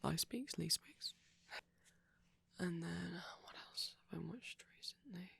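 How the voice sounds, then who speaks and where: A man voicing a shy, gentle, nervous vampire boyfriend in an intimate ASMR roleplay, speaking softly and often whispering, hesitant and rambling, close to the microphone. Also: sits high, moderate pace, exaggerated voice. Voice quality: soft, breathy, often whispering.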